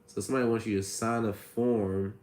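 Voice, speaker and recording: Raspy-voiced man hosting a credit Q&A stream. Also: on the low side, fairly even intonation, fairly quick, a little mellow, echoey room. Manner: reads viewer comments aloud, chatty and loose. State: hoarse, recovering from a cold. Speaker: credit repair host answering viewer questions. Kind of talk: casual livestream Q&A.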